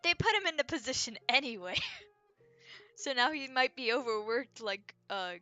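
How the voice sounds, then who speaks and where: A woman's voice: high voice, expressive, normal speed, pushed and bright. A woman streamer giving casual, chatty, informal commentary while she plays a game. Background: game music.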